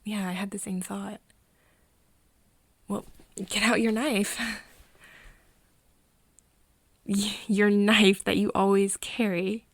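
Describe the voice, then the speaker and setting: A woman playing a caring, teasing young friend of a vampire in an ASMR roleplay, speaking softly and haltingly with whispery breath. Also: mid-range voice, level tone, dry recording. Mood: tentative, curious. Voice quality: soft, breathy, often dropping into whispers. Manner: hesitant, halting, gently coaxing. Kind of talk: ASMR roleplay, intimate second-person acting.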